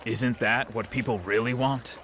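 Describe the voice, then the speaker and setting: A man's voice: mid-range voice, steady tone, a little slow, a bit bright, slight background noise, close to an everyday voice. An actor playing a polite, gossipy innkeeper who is eager to serve his customers. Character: friendly, chatty male innkeeper. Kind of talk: game cutscene voice acting.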